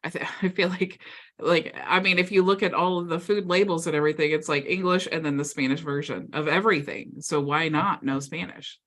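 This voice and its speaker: A woman's voice: low voice, steady tone, a little fast, fairly bright tone. A woman hosting a live Q&A video call, chatty and upbeat with lots of filler words. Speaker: mastermind call host.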